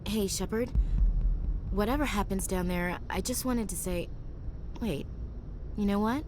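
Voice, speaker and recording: A woman's voice: medium pitch, unhurried, slight background noise, lightly acted, poor audio. A woman playing a tough yet tender, wry young female soldier in a dramatic video game. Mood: teasing. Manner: wry, poetry-quoting, frank. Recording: loud music over the voice.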